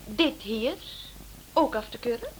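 An actress playing a cheeky, sharp-witted wife who sleuths, speaking in a playful, teasing way in a radio drama. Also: female voice, fairly high pitch, unhurried, lightly acted. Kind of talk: Dutch-language radio drama acting. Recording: noisy old radio drama recording.

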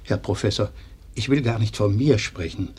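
A man's voice: on the low side, normal speed, warm tone, slightly rough. A man in a radio play acting a grave, concerned married man.

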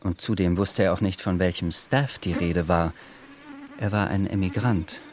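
A man narrating a literary German radio drama in a measured, dry, gently ironic manner. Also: low-pitched, steady tone. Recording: music under the voice.

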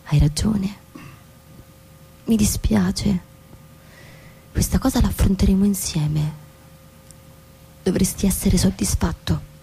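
An actress playing a disillusioned, coldly defiant wife in a theatrical radio drama, speaking deliberately with pointed pauses. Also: female voice, mid-range voice, creaky, warm tone, slightly rough, exaggerated voice, poor audio. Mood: sombre, resigned. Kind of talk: theatrical stage-play acting for radio.

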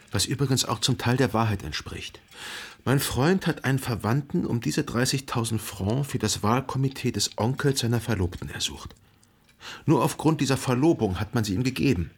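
A man playing a reflective, lovelorn narrator recalling his past in a literary radio drama, measured and contemplative. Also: medium pitch, slightly rough. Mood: urgent pleading.

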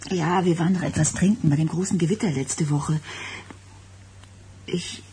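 An actress playing an anxious, self-doubting young expectant wife in a German radio drama, speaking in a halting, hesitant way. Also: female voice, sits low, fairly even intonation, warm tone, natural voice. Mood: uneasy, hesitant. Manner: hesitant, halting, repeating words. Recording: radio play with background noise.

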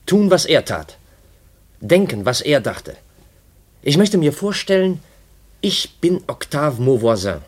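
An actor playing an earnest, brooding young gentleman in a 1950s German radio play, intense and searching, with thoughtful pauses. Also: fairly high pitch, sing-song, exaggerated voice. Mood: intense, eager. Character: earnest, brooding young gentleman obsessed with his late uncle.

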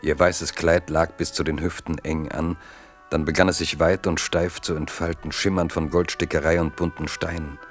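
A man with an extremely deep voice narrates an old historical radio play. He tells the story in a measured, evocative way, with faint music playing behind him. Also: level tone. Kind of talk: scripted literary narration in a radio drama.

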